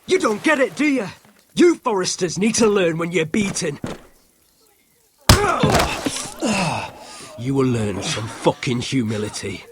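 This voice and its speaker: A man's voice: on the high side, animated, normal speed, background noise, close to an everyday voice. An actor playing an arrogant, cruel young lord in a video game drama, sneering and menacing as he taunts those beneath him. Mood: threatening.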